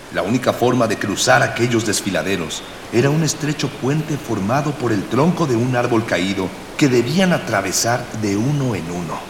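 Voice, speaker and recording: Echoey low-voiced male serial narrator. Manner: florid, old-fashioned storytelling. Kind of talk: scripted radio serial narration. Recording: echoey long reverb, clear hiss.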